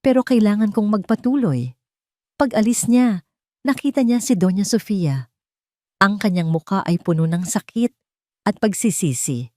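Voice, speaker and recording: A woman's voice: mid-range voice, expressive, a little slow, long pauses, a little mellow, no room sound, studio quality. A woman reading a scripted romance story aloud in an earnest, dramatic storytelling manner.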